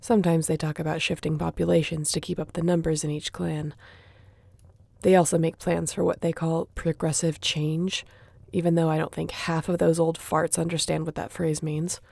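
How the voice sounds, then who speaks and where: A woman's voice: low-pitched, little pitch movement, normal speed, vocal fry, mellow, no echo. A woman playing a sassy, competitive young shifter in an audio roleplay, talking in a casual, teasing way. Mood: casual explaining. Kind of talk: audio roleplay acting, addressing the listener.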